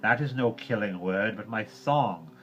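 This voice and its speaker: Brief: wise teasing panther, man reading, low voice, slow drawl, muffled lo-fi sound. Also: steady tone, a bit bright, slight background noise. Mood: sly drawl. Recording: dull lo-fi recording.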